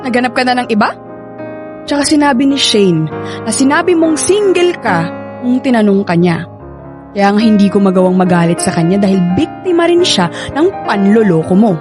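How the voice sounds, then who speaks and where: A woman's voice: on the high side, sing-song, normal speed. A Filipino woman playing a hurt, defiant young wife in a radio drama, emotional in delivery. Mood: hurt, angry. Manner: emotional, bitter, confrontational. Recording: music behind the voice.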